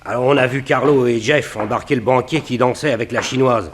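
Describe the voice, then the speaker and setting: A man's voice: mid-range voice, a little fast, lightly acted. Actor as a boastful henchman, noisy old recording. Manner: slangy, self-satisfied. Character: boastful, dim gangster henchman reporting to his boss. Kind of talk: 1960s French radio crime serial acting.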